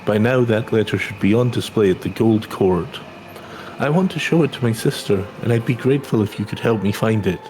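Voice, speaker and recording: A man's voice: fairly low pitch, brisk, a little mellow, a little hiss or hum, lightly acted, low-quality recording. A man playing a polite, earnest young adventurer from a video game, formal and humbly reflective. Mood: grateful. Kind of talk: video game dialogue read aloud in character. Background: game music.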